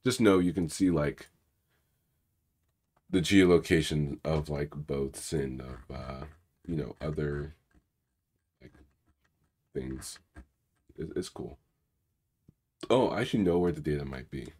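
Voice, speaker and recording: Very deep, raspy-voiced sick man. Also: slow pace, long pauses, darkish tone. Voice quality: low rumbly voice. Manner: rambling, filler-heavy, mutters while clicking around. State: sick, more raspy than usual. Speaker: cybersecurity channel host. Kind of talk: casual live coding walkthrough, reading viewer questions.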